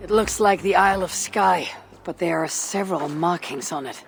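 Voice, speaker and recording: A woman's voice: on the low side, normal speed, creaky. A proud Viking shieldmaiden, talks with a Scandinavian accent. She is terse and blunt, with dry scorn, as in a video game adventure scene. There is some music behind her voice. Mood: scornful, dry. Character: proud, curt Viking woman warrior and clan protector. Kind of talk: video game cutscene acting.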